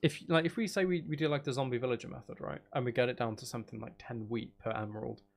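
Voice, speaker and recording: A man's voice: medium pitch, fairly even intonation, fast, gravelly. A man giving casual live gameplay commentary, chattily thinking aloud and muttering to himself with mild exasperation. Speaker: gaming streamer. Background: background music.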